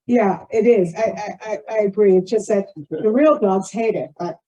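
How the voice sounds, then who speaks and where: A woman's voice: medium pitch, normal speed, warm tone, slightly rough, lo-fi sound. A woman speaking informally at a meeting on a video call, in a chatty, rambling way.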